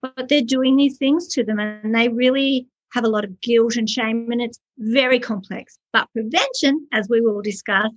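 Earnest woman with a husky voice. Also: a little high, moderate pace, few pauses, dull audio. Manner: earnest, passionate storytelling. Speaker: author and early childhood educator. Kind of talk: podcast interview answers.

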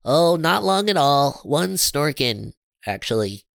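Storybook alien scientist, male reader: small, earnest and fretful, formal delivery with some creak, close dry sound. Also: on the high side, sing-song, takes it slowly, no echo, voice pushed up, exaggerated voice. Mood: matter-of-fact. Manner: formal, stilted phrasing.

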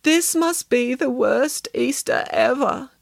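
A woman's voice: high voice, gravelly, no echo, studio quality, pitched above the performer's own voice, heavily acted. Woman as a wailing, melodramatic child. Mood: woeful.

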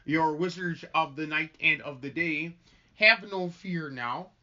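A man acting a grave, zealous minister who hunts the devil, solemn and deliberate in an amateur play reading. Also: a little high, bright, forceful tone, muffled recording. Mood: grave, commanding. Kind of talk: amateur stage-play read-through.

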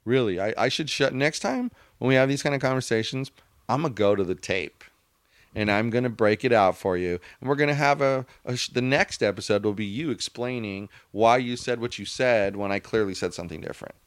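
A man's voice: medium pitch, fairly quick, dry recording, studio quality. A man co-hosting a casual podcast, arguing his points emphatically and bickering with his co-host. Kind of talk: casual opinionated chat.

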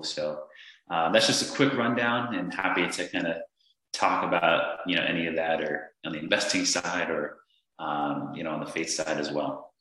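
A man from Northern Virginia, a guest on a podcast, speaking earnestly in a halting way with many fillers and restarts. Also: low-pitched, sharp tone. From Northern Virginia, USA. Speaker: podcast guest, real estate investor. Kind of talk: casual interview conversation. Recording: echoey room.

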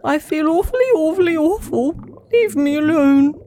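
A woman's voice: sits high, lively intonation, normal speed, mellow, slight background noise, pitched above the performer's own voice. A woman doing a moaning sick little fish.

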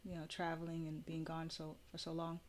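A woman co-hosting an interview, asking questions in an expressive, friendly way. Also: deep voice, brisk. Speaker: interview co-host.

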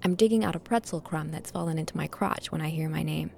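Audio drama lead: sardonic female gambler, low, creaky voice, candid and conversational. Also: fast, a little mellow, slight background noise. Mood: wry, confiding. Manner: wry, candid, conversational, sweary. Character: sardonic, streetwise woman gambler telling her own story. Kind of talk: first-person audio drama narration and dialogue.

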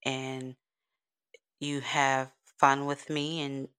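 A woman's voice: a bass voice, flat delivery, very slow, a bit bright, smooth. A woman, hosting a rambling, giggly solo podcast in a soothing voice. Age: 30s. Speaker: podcast host. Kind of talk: casual solo podcast chat.

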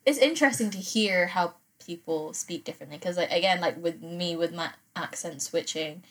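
A woman in her twenties with a Filipino-English accent, chatting casually and telling animated stories with many fillers. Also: fairly low pitch, moderate pace, poor audio. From Philippines. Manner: animated storyteller, lots of fillers. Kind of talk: casual chat.

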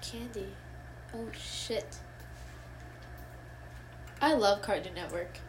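A young woman in casual chat, rambling with lots of fillers and getting excitable. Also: mid-range voice, takes it slowly, slight background noise, poor audio.

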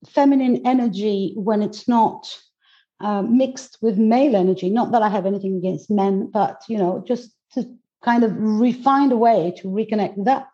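Woman in her forties, from Greece, non-native English, mellow dark tone, halting restarts, dull lo-fi sound. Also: mid-range voice. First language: not English. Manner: warm, searching for words, frequent restarts and laughs. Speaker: guest, goddess teacher and coach. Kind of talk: casual interview chat.

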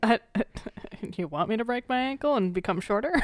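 A woman's voice: fairly high pitch, expressive, moderate pace, no echo, studio quality. A woman co-hosting a true-crime podcast, playful and chatty, who leads the case narration. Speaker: podcast co-host. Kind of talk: casual true-crime chat.

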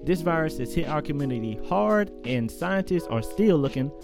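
A man's voice: fairly high pitch, animated, normal speed, gravelly. A man reading an upbeat, direct public service announcement. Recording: guitar music behind.

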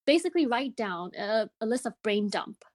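Higher-voiced woman with Chinese roots. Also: expressive, moderate pace, a little mellow, dry recording, studio quality. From China. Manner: easygoing, many fillers, laughs often. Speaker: guest, business co-founder. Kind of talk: casual interview chat.

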